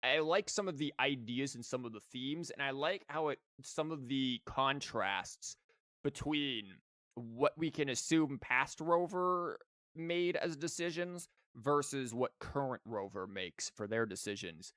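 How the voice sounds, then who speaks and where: A man's voice: mid-range voice, a little slow, fairly bright tone, dry recording. A young man hosting a gaming livestream, chatting casually and rambling with plenty of fillers as he wraps up. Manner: rambling, lots of fillers and restarts. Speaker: livestream host. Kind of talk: casual gaming stream wrap-up.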